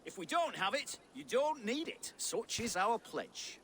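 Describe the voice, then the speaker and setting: An exuberant video game trader who pitches his wares with florid showmanship. The voice is bright and forceful, leaping around animatedly over slight background noise. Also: big pitch swings, measured pace, heavily acted. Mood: welcoming, boastful. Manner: theatrical, salesman-like, florid. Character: exuberant, grandiose exotic-goods merchant heralding his child master. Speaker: merchant. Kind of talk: video game character acting.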